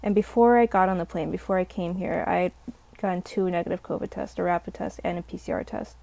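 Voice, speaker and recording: A young woman, slightly hoarse, telling a rambling casual storytime full of "like" fillers. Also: on the low side, fast, warm tone. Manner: rambling, heavy use of "like" fillers. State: slightly hoarse from a sore throat. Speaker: vlogger.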